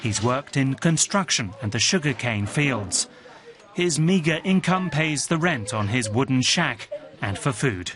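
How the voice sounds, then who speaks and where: Man, news voice-over, scripted, measured, mid pitch. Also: a crowd in the background. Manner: measured, even reporting delivery. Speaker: news reporter.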